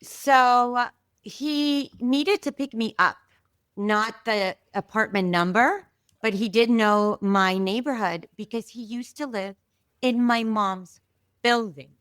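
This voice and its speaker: A woman guest telling a story in a casual, animated way, full of fillers and asides, from Israel. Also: on the high side, slow pace, clean tone, no echo, clean studio sound. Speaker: podcast guest.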